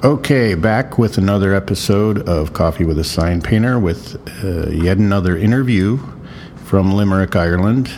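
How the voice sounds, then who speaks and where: Man as podcast host, deep mellow voice, laid-back questions, faint noise. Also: moderate pace. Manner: laid-back, lots of fillers, asks short follow-up questions. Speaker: podcast host and sign painter. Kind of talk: casual interview chat. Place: bar.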